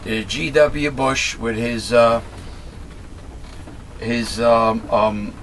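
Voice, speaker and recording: A middle-aged man with a raspy voice speaks at an ordinary pitch straight into a desk webcam. He rambles in a casual monologue, full of "uh"s and restarts, and voices blunt opinions. A faint hum of noise sits behind him in a small room at home. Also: very slow, fairly bright tone. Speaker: host of a political commentary channel. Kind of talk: casual monologue, talking to camera.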